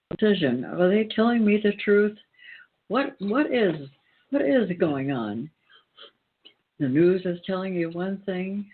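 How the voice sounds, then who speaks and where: A man giving an earnest spiritual talk in a deliberate way, pausing often and repeating phrases for emphasis. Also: high-pitched. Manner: deliberate, emphatic repetition with pauses. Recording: tinny, phone-like band-limited sound.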